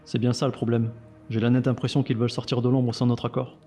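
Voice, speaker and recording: Man acting a fast-talking, mellow, cynical agent. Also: medium pitch, little pitch movement, faint music behind, lightly acted. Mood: suspicious, weary. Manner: dry, sardonic. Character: weary, cynical male intelligence agent, suspicious and curt. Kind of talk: French audio fiction dialogue.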